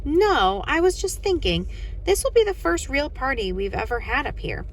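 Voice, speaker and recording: A woman voicing an eager, excitable schoolgirl in a children's storybook, with emphatic delivery. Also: sits high, moderate pace, a little hiss or hum, pitched above the performer's own voice. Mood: earnest, eager. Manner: exclamatory, emphatic.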